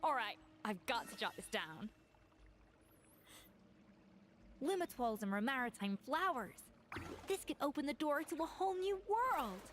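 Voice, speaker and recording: A woman voicing an inquisitive, matter-of-fact young female chef in a video game, crisp and analytical. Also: high voice, expressive, moderate pace, slight background noise. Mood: intrigued.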